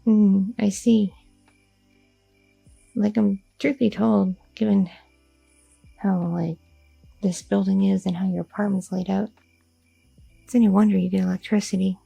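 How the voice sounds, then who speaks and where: A woman's voice: mid-range voice, steady tone, unhurried, vocal fry, pauses often, warm tone, slightly rough, no echo, soft background music, exaggerated voice, low-quality recording. A woman voicing a curious, chatty young girlfriend in an ASMR roleplay, rambling with many fillers and nervous questions. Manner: rambling, inquisitive, filler-heavy talk.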